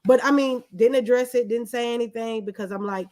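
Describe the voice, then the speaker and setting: A woman's voice: fairly high pitch, a little fast, darkish tone. A woman talking casually as an interview guest, informal and colloquial in a reflective way. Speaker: guest, videographer.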